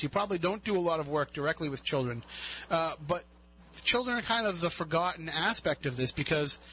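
A man's voice: fairly high pitch, sharp tone, low-quality recording. A man with a Boston accent hosting a radio show, chatting casually and joking with a guest. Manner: chatty, jokey, laughs often. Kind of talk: casual interview chat. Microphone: studio mic.

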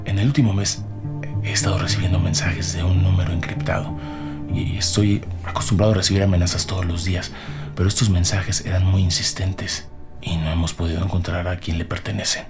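A man playing a nervous, grieving politician under questioning in an audio drama, speaking haltingly with pauses. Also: deep voice, little pitch movement, over loud music. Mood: nervous, guarded. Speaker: actor in a detective audio drama. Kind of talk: dramatic podcast acting.

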